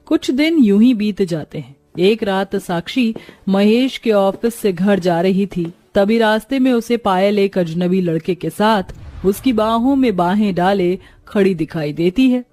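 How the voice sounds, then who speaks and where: Lady narrating a moral story, mellow voice, dry recording, scripted. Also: medium pitch, a little slow, no room sound, faint music behind.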